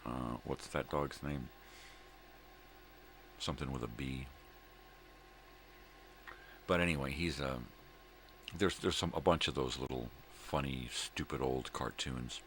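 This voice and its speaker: A middle-aged man with a gravelly voice, rambling casually and folksily as he hosts a morning vlog, often repeating himself. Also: takes it slowly, long pauses, fairly bright tone. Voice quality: gravelly, very deep. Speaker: host of a daily vlog. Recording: clear background room noise.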